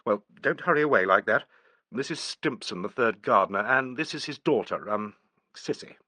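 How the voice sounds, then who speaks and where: A man voicing a brisk police inspector who speaks crisply and coaxingly. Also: medium pitch, animated, pushed and bright, no echo.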